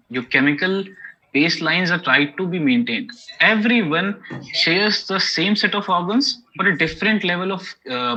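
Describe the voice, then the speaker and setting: Bright, husky Indian-accented male lecturer. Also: fairly high pitch. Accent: Indian English. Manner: explanatory, many okays and you-knows. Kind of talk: academic lecture. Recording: dull lo-fi recording.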